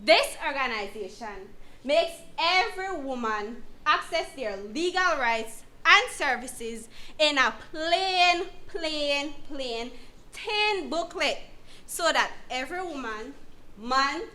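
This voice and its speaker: Woman acting as firm legal-rights guide, lively swooping pitch, unhurried, emphatic, instructive. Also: heavily acted. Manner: emphatic, deliberate explaining. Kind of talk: instructive scripted dramatization.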